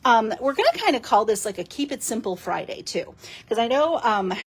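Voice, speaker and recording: A woman with a fairly high, husky, raspy voice, hoarse today. She chats and giggles freely as she walks through a card-making demo, with plenty of rambling asides and fillers. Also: sing-song, fairly quick. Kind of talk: casual live craft demo.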